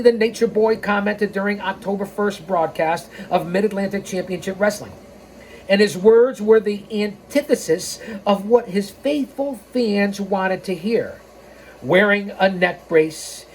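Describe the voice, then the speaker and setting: A woman narrates a scripted history as the host of a story hour. Her voice sits at a middle pitch, and she slips in playful, casual asides. There is noticeable noise behind her. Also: darkish tone. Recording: clearly noisy background.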